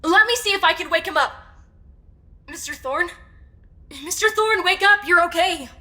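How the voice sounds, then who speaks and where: A woman playing a brisk, level-headed, matter-of-fact amateur investigator in a comedy audio drama. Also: very high voice, pushed and bright. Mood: urgent.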